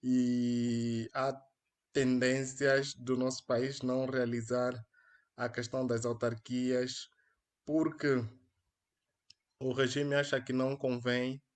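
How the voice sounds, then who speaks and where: A man's voice: mid-range voice, level tone, slow. A young man with an Angolan accent, hosting a solo live stream in an earnest, hesitant way, with many fillers and restarts, speaking into a headset mic. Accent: Angolan Portuguese. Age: young adult. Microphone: headphones with mic.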